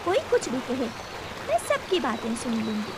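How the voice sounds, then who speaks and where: Woman as loyal female cartoon bird, protective, earnest, very high lively voice, faint background noise. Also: moderate pace, exaggerated voice. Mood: urgent, caring. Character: kind, loyal, indignant female bird friend.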